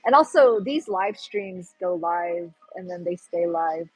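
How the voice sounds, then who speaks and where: A young woman with a Pacific Northwest American accent chats casually to her livestream viewers. Her voice is airy and a little breathy, and she wanders between thoughts while she hunts for the right words. Soft music plays faintly in the background. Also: on the low side, animated, measured pace, rough recording. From Pacific Northwest, United States. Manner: rambling, chatty, searching for words. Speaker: livestream host.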